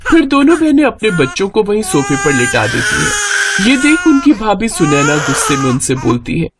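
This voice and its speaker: Lively woman narrator, noisy background. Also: medium pitch, normal speed. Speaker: story narrator. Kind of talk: scripted moral-story narration.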